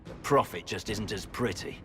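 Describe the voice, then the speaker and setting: An actor playing a bitter, defiant fallen knight and traitor general, cynical and scornful, in video game drama. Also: male voice, fairly low pitch, normal speed, vocal fry, fairly bright tone, faint music behind.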